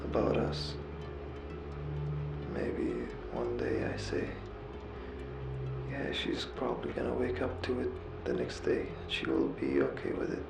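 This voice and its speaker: A man with a heavily creaky, gravelly voice speaks slowly in an earnest, confessional monologue. He halts and repeats phrases as he reflects, in a roomy, echoing space with music underneath. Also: fairly low pitch, big pitch swings. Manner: halting, repeats words and phrases, pausing mid-sentence.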